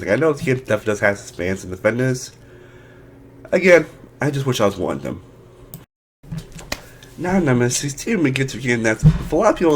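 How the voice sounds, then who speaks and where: Rambling young man reviewing games. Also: medium pitch. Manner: rambling, with frequent restarts and fillers. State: voice a bit off from allergies. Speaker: video game reviewer, channel host. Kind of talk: casual ranking video talk.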